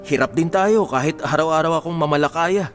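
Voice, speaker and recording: A man's voice: a little high, brisk, fairly bright tone, soft background music, lightly acted. A man acting in a Filipino radio drama as a poor, stubborn husband who justifies himself in a defensive, dismissive way. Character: stubborn, self-justifying poor husband, unrepentant explosives seller.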